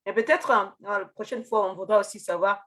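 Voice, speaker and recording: A woman's voice: medium pitch, fairly quick, fairly bright tone. Hesitant woman moderating a video call. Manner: hesitant, many fillers and restarts, thanking speakers. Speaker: meeting moderator. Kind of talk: moderating an online meeting.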